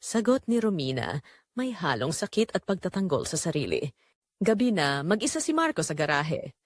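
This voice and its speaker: A woman with a Filipino accent giving an expressive, measured, scripted narration of a dramatic story. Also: lowish voice, no echo.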